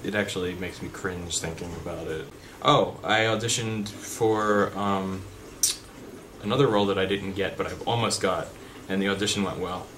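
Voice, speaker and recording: Deep-voiced young man rambling to camera. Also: fairly even intonation, moderate pace, fairly bright tone. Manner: rambling, many fillers and restarts. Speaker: vlogger. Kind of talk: unscripted rambling chat. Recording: background noise.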